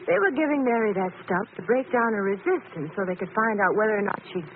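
A woman's voice: medium pitch, fast talker, natural voice. An actress playing an inquisitive lady companion to a sleuth in a 1940s radio drama, asking curious questions. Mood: curious, playful. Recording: tinny, noisy old radio recording.

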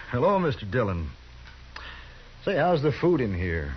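A smug, sneering outlaw boss. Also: male voice, mid-range voice, sing-song, slow, slight background noise. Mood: mocking. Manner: mocking, smug. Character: sneering, cocky male outlaw gang leader. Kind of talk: 1950s radio drama acting. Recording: lo-fi 1950s radio broadcast.

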